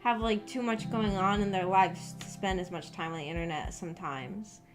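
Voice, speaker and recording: A woman's voice: mid-range voice, steady tone, normal speed. Rambling young woman streamer, casual chat. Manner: rambling, hesitant, informal. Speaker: VTuber streamer. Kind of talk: casual livestream chat with viewers. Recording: background music under the voice. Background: background music.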